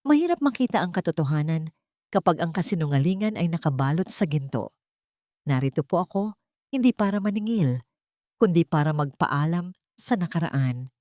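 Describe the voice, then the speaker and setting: A woman voicing a gentle, forgiving young heroine in an audiobook drama, soft-spoken and solemn. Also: low-pitched, lively intonation, normal speed, dry recording, clean studio sound.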